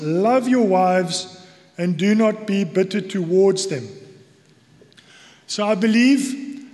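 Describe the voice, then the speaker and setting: South african guy doing a sermon, pretty high voice, long echo like a church hall, deliberate and earnest. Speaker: church elder giving a sermon.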